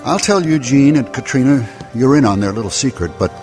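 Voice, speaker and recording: An actor playing a kindly older inventor and mentor in a family radio drama, measured and pensive. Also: male voice, mid-range voice, lively intonation, a little mellow, faint music behind, close to an everyday voice. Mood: troubled.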